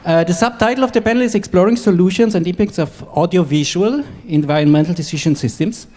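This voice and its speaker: A man from Costa Rica moderating a conference panel, introducing and questioning guests in a hesitant, halting way with frequent stops mid-phrase. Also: high-pitched, dark tone.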